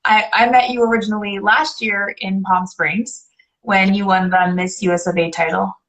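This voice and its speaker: A woman's voice: medium pitch, steady tone, normal speed, fairly bright tone, low-quality recording. A woman from Canada hosting a live online interview, chatty and upbeat, often repeating herself. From Canada (Alberta). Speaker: host. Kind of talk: casual live video interview.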